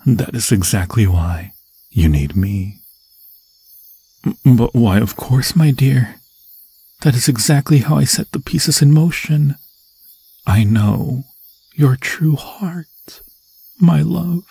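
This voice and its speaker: A man voicing an obsessed, possessive demon lord in an ASMR horror roleplay, husky and breathy, often dropping into a whisper, with grandiose, seductive phrasing. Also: mid-range voice, slow, pauses often, mellow, dry recording, exaggerated voice. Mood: gloating, adoring. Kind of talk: ASMR fantasy horror roleplay, theatrical monologue. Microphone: close, dry microphone.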